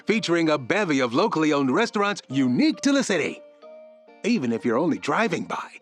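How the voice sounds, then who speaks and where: Chirpy civic booster man for a radio comedy promo: high voice, swooping delivery, salesman polish. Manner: upbeat, salesman-like, theatrical. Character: cheerful small-town tourism promoter, folksy civic booster. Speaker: tourism board spokesman. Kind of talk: scripted promotional narration.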